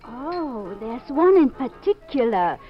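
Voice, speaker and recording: High-voiced, slow, theatrical fortune-teller. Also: female voice, sing-song, heavily acted. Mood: teasing, mysterious. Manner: theatrical, mysterious, refers to herself by name. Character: flattering, teasing female waterfront fortune-teller, theatrical and coy. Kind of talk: 1950s radio drama acting. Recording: dull 1950s radio recording, faint music.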